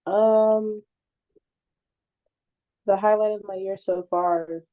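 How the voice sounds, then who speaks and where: A woman in her late twenties from California, joining a video call and sounding apologetic and a little rushed. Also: medium pitch, steady tone. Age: 20s. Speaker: session participant. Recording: muffled lo-fi call audio.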